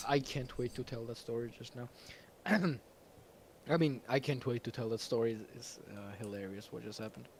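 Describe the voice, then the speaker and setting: A man's voice: mid-range voice, normal speed, slight background noise. A man whose first language is Georgian, hosting a stream in casual chat, laughing often and rambling with fillers. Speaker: stream host.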